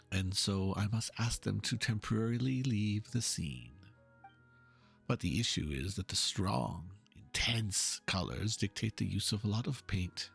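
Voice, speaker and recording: A man playing an earnest, pompous painter in a dramatic reading of game dialogue, speaking in a florid, halting, theatrical way. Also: fairly low pitch, vocal fry, fairly bright tone, husky, heavily acted. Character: theatrical, earnest male painter, pompous and fervent about art.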